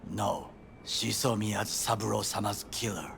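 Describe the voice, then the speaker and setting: A grave video game bodyguard with a Japanese accent and a lowish, creaky voice. He is stern and formal, choosing clipped words with pride. A faint rumble sits behind him. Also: moderate pace, a bit bright. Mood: stern, guarded. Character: grave, proud, loyal Japanese ex-bodyguard, middle-aged man. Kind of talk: video game dialogue acting.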